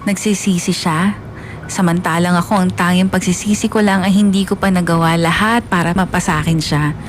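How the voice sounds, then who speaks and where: A woman's voice: fairly low pitch, steady tone, moderate pace, soft background music, close to an everyday voice. A woman acting a lovesick, obsessive woman who narrates her story in a wistful, confiding way in a radio drama. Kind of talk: first-person scripted drama narration.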